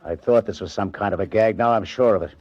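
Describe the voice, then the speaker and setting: An actor playing a curt, suspicious homicide lieutenant with a gruff, commanding manner in a 1940s radio drama. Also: male voice, on the low side, fast talker, darkish tone, no room sound, lightly acted. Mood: brusque, suspicious. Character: brusque, suspicious male police homicide lieutenant. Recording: dull vintage 1940s radio recording.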